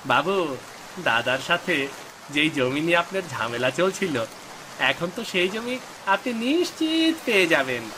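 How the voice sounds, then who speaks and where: A man's voice: sits high, very animated, moderate pace. A man voicing a fawning, scheming henchman to a landlord in a cartoon story, obsequious and exaggerated. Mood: sly, fawning. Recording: loud rain noise behind the voice.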